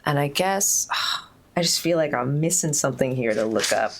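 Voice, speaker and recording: Woman player, really deep voice, kinda hesitant, thinking out loud. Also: animated, moderate pace, slight background noise. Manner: thinking aloud, hesitant, many fillers. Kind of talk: casual game talk.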